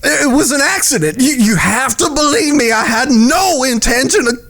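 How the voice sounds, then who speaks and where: Man acting a high-voiced, desperate pleading villain. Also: animated, fairly bright tone, heavily acted. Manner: halting, stammering, whimpering. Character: desperate, pleading Western villain, lovesick and cowardly. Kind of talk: audio drama acting.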